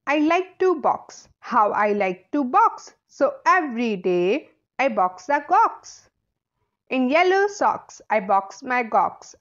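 A woman's voice: high voice, dull audio. A woman reading a children's picture book aloud in a playful, emphatic, sing-song way.

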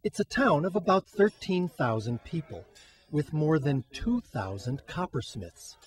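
Lively male news reporter voice-over. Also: a little high, measured pace, faint music behind. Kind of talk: scripted news narration.

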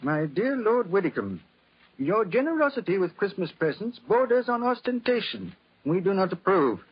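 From a dull-sounding 1940s radio play comes a genteel British lord. His voice is a little high and husky, with an earnest, anxious manner that suits a well-off gentleman seeking help. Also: sing-song, moderate pace, exaggerated voice. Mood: reading gravely. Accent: British English. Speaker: actor playing a client. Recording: muffled, band-limited 1940s radio recording.

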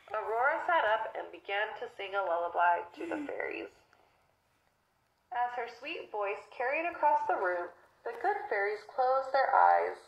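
Animated woman reading a children's storybook. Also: a little high, dull audio. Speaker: storybook narrator. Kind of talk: expressive children's read-along narration. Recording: roomy replay off a phone speaker.

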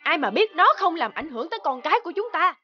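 An actress playing a spiteful, scornful mother in a cartoon, making accusations in a sharp manner. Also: extremely high pitch, very animated, quick pace, dry recording, muffled recording, soft background music, heavily acted. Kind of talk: cartoon dubbing.

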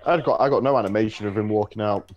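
A man's voice: fairly low pitch, fast talker, a little mellow, lo-fi sound. A man chatting casually with friends over a voice call during a game stream, giving dry, brief replies.

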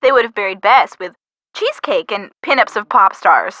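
Bright, blunt young sidekick girl. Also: mid-range voice, animated, normal speed, no echo. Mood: teasing, sardonic. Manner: casual, direct, sardonic. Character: George, blunt, teasing young female friend of the detective. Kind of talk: scripted video game dialogue.

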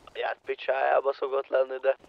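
Male online player, casual planning talk, average pitch, lo-fi comms sound. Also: monotone, creaky. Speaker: fellow player on voice chat. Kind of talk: casual in-game planning chat. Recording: dull lo-fi voice chat.

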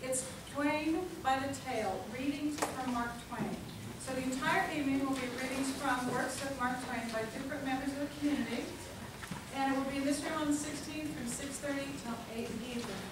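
A woman's voice: high voice, steady tone, fairly quick. A middle-aged woman speaking as host, introducing readers at a live event in a meeting room. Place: indoor library meeting room with audience. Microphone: far camera, no microphone. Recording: echoey room, distant microphone, clear noise.

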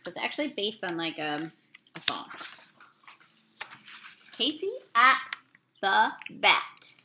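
A woman in her thirties reading a poem aloud from a picture book, a little haltingly, stumbling and correcting herself. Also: medium pitch, big pitch swings, sharp tone, slight background noise. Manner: halting, with misreadings and self-corrections. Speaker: read-aloud reader. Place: small indoor room.

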